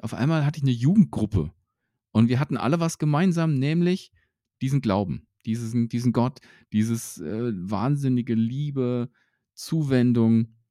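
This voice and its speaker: A man's voice: medium pitch, warm tone, no echo, studio quality. A man talking casually and reflectively about his faith, with many fillers and restarts.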